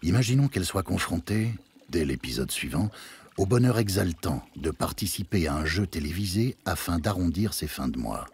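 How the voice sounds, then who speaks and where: An actor playing an ominous, wryly ironic narrator of a radio fiction, with a deadpan yet dramatic manner. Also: male voice, sits low, moderate pace, breathy edge, exaggerated voice. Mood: wry menace.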